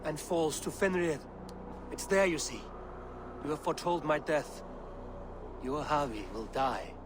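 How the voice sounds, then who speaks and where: A man's voice: on the high side, unhurried, background noise, close to an everyday voice. Havi, brooding king of the gods, played by a man. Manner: commanding, brooding. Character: Havi, proud aging male king of the gods. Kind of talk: video game cutscene acting.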